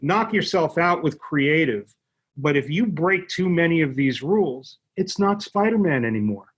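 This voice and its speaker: A man speaking as an expert interview guest, explaining conversationally in a halting, emphatic way and repeating words for effect. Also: fairly high pitch, expressive, husky. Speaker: interview guest, media company executive.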